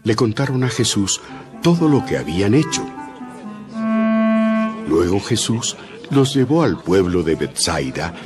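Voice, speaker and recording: A man narrating a dramatized audio Bible from a script, with expressive storytelling emphasis. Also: lowish voice, measured pace, pauses often. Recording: loud background music. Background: music.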